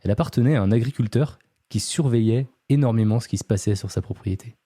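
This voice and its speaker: A man's voice: mid-range voice, fast talker, mellow, husky, dry recording, clean studio sound. A man hosting a podcast, telling a true-crime story casually, with many fillers and chatty asides to his co-host.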